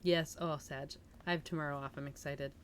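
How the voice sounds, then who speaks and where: A woman with a slightly low voice hosts a cozy story stream, moving between casual chat and reading aloud. Her manner is informal, chatty and giggly. Some noise sits behind her voice on the home streaming mic. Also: steady tone, a little fast. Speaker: livestream host reading a novel aloud. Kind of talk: casual livestream chat and audiobook-style reading.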